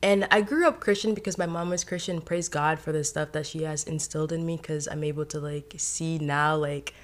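Woman from Haiti, twenties, low pitch, candid personal story, casual fillers. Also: steady tone, a little fast. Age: 20s. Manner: candid, laughs often, many "like" fillers. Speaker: podcast guest.

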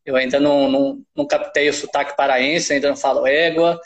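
A man's voice: mid-range voice, steady tone, fairly quick, dull audio. A man from Minas Gerais with a Minas Gerais accent, chatting as a researcher guest on a podcast, talking freely with lots of tag questions. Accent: Minas Gerais Brazilian Portuguese. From Minas Gerais, Brazil. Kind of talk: casual conversational podcast.

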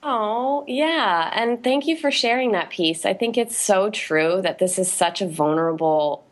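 A woman co-hosting a podcast in a casual chat, speaking in a soft, thoughtful and appreciative way. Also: mid-range voice, expressive, a little slow. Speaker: podcast co-host.

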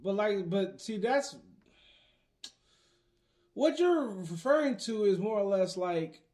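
A young man in casual conversation, arguing slowly with many restarts and false starts. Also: high voice, expressive, darkish tone. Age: young adult. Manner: hesitant, restarts a lot, laughs. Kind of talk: casual debate chat.

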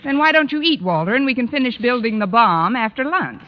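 Actress as dry, wisecracking schoolteacher, muffled recording. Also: female voice, a little high, animated, a little fast, natural voice. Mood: dry, teasing. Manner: dry, sardonic delivery. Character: wry, wisecracking lovelorn schoolteacher, a woman. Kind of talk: 1940s-50s radio comedy acting. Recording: muffled old radio recording.

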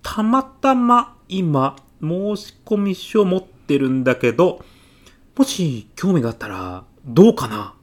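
A man's voice: fairly high pitch, very animated, measured pace. A man playing a mild, self-effacing classmate in his thirties with a sly salesman's streak, speaking politely in a comedy radio drama. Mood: sly sales pitch. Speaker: actor in an audio comedy sketch.

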